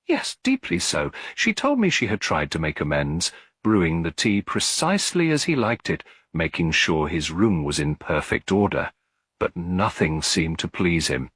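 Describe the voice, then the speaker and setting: A man's voice: sits low, big pitch swings, moderate pace, a bit bright, husky, no room sound, clean studio sound, full character voice. A man voicing an anxious, tearful widow with a hesitant, pleading manner in a storybook reading. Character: anxious, tearful working-class widow, thin and worried.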